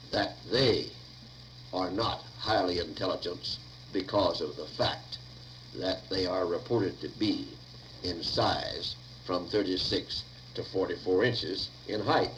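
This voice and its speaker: A man with a fairly low voice reads out lecture notes on a noisy 1950 reel-to-reel tape. His phrasing is formal and deliberate, like a lecturer dictating. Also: a bit bright. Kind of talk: slow dictated lecture notes read aloud.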